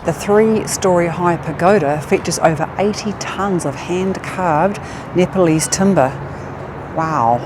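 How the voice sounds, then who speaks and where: A woman with a New Zealand accent talks into an action camera as she walks through a city at night. She mixes facts with quick, delighted remarks, and clear outdoor city noise sits behind her voice. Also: lowish voice. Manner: chatty, easily impressed, exclaims often. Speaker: travel vlogger. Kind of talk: walking tour vlog with facts. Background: city street and traffic sounds.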